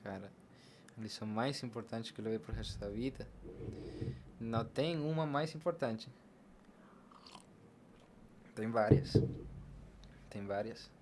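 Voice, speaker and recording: A man's voice: fairly low pitch, a little slow, pauses often, noisy background. A young adult man with a Brazilian Portuguese accent, a podcast guest telling personal stories casually and acting out what was said. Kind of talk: casual personal storytelling in an interview.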